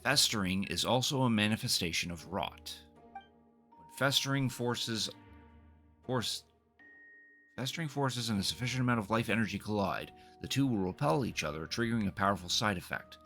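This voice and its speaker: A man's voice: on the low side, expressive, moderate pace, pushed and bright. A man hosting a game livestream, reading dialogue aloud and chatting to viewers, sometimes stumbling over and repeating words. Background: video game music.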